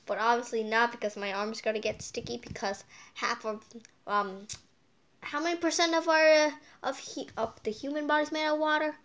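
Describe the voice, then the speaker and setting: A woman hosting a casual storytime read-aloud, drifting off into playful, whimsical asides as she goes. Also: a little high.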